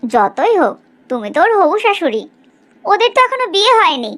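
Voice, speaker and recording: A woman voicing a proud, sharp-tongued middle-aged village mother in a cartoon story, in an exaggerated, gossipy way. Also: extremely high pitch, very animated, a little fast, exaggerated voice. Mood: pleading, insistent. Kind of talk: Bengali cartoon story dialogue.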